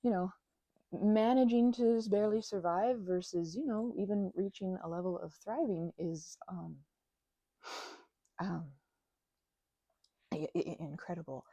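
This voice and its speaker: A woman playing a timid, gentle vampire in an audio roleplay, stammering and hesitant in a soft, breathy voice. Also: on the low side, measured pace, pauses often, no echo. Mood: nervous, grateful. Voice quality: soft, breathy, sometimes dropping to a whisper. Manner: nervous stammering, hesitant, apologetic, breaking into nervous giggles. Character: timid, grateful, gentle female vampire, shy and friendly. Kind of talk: audio roleplay acting, intimate one-sided conversation.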